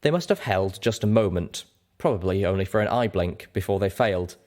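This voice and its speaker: Male audiobook reader: lowish pitch, expressive, varied delivery for fantasy fiction. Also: a little fast. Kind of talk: animated scripted fiction narration.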